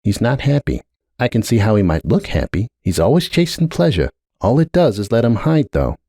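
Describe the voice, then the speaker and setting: A man's voice: medium pitch, big pitch swings, normal speed, dark tone, no room sound, studio quality, voice pushed up. A man voicing a guarded, brooding middle-aged pony mare, a blunt aunt with a terse, world-weary way of talking, in a dramatic story reading. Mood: somber, pensive. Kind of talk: dramatic audiobook character reading.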